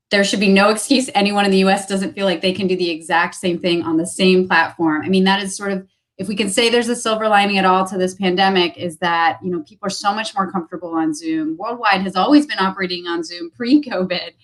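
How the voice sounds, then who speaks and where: An upbeat woman guest talks through her business work over a video call. Her pitch sits in the middle, and she leans on fillers and repeats her points.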